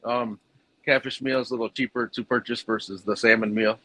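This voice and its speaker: A man's voice: mid-range voice, steady tone, a little fast, a bit bright, poor audio. A man who runs a fish food business, answering interview questions in a plainspoken, rambling way with fillers.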